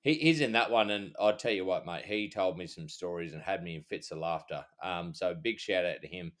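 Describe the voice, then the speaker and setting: Low-voiced aussie bloke, middle-aged ex cop, rambly and easygoing on a podcast. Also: male voice, a little fast. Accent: Australian. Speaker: podcast co-host, former police officer. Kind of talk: casual chat.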